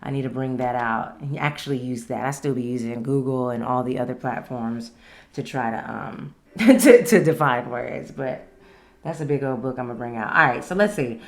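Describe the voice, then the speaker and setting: A woman's voice: rumbling low, normal speed. An American woman hosting a solo podcast, speaking in a blunt, emphatic, slangy way. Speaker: podcast host. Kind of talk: casual solo podcast monologue.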